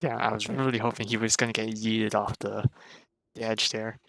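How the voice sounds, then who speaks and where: A man's voice: on the low side, little pitch movement, normal speed, creaky, a bit bright, no echo. A man streaming a game, chatting casually and joking as he plays. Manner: joking, laughs often. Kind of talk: casual commentary over gameplay.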